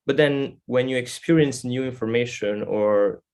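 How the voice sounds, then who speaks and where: An Italian man speaking English with an Italian accent as a guest speaker, giving an informal talk in a halting, reflective, explanatory way. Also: medium pitch, darkish tone, smooth. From Italy. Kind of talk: informal spoken presentation.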